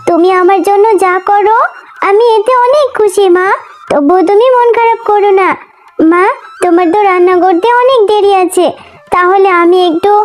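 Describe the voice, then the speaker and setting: A woman's voice: extremely high pitch, darkish tone. A woman voicing a sweet, earnest little fox child, childlike and coaxing, in a children's cartoon story. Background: music.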